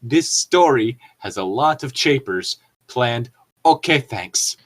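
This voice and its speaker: A man's voice: medium pitch, animated, slow, fairly bright tone. A man co-hosting a comedic reading, chatting casually with rambling explanations and some mild swearing. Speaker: co-host reading and riffing on fan fiction.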